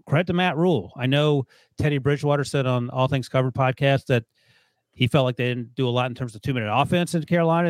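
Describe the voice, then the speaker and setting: A man's voice: mid-range voice, dry recording. A man co-hosting a sports podcast, talking through game analysis in a rambling, casual way, often restarting and chuckling. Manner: rambling, self-correcting, chuckles while talking. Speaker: podcast co-host.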